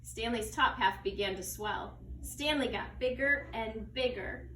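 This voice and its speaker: A woman's voice: on the high side, unhurried, pushed and bright. A woman teacher reading a children's story aloud. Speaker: second grade teacher. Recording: echoey, distant mic, faint noise.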